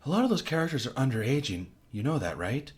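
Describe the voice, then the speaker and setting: Sharp-tongued captive woman, raised and biting, scornful; man reading the part. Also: mid-range voice, sing-song, fast, pitched above the performer's own voice, close to an everyday voice. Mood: mocking. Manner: cutting, scornful, blunt.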